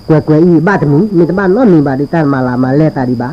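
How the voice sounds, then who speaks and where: A man's voice: on the high side, animated, very fast. A man from northern Thailand, a native Karen speaker, telling a folktale aloud in an informal oral storytelling style. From Li district, Lamphun, northern Thailand. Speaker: folk storyteller. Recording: dull lo-fi recording with insect-like background noise.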